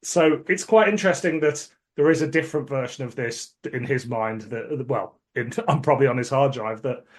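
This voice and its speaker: Medium-pitched man hosting a chat. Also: moderate pace, hardly any pauses. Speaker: podcast host. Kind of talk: casual, informative review chat.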